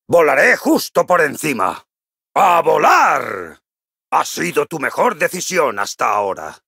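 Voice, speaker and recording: Gruff cocky dwarf hammer fighter, spain spanish, game voice, slow and forceful, big hearty bluster. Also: male voice, a little high, dry recording, studio quality, exaggerated voice. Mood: cocky banter, then rousing battle cries. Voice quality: gruff, raspy. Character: boisterous male dwarf gryphon-riding hammer warrior, cocky and jovial. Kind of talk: video game character voice lines.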